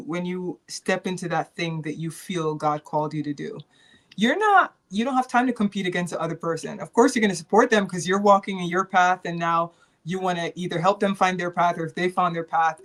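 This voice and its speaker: A woman from New York and Jamaica speaking as an interview guest, earnest and reflective, often repeating phrases as she thinks aloud. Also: on the low side. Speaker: interview guest, performing artist.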